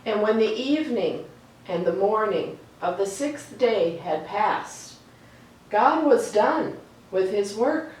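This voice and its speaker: Lady doing story time for kids, takes it slow and expressive, super echoey and kinda far from the mic. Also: medium pitch. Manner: slow, deliberate, expressive phrasing. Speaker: children's story reader. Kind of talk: read-aloud storytime with chatty asides. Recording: echoey room, distant mic, faint noise.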